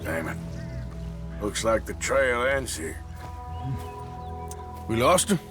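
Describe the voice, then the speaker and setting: An actor playing a gruff American cowboy outlaw in his prime in a video game, speaking tersely and dryly. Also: medium pitch, big pitch swings, takes it slowly, over music. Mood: focused, practical. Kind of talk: video game dialogue acting.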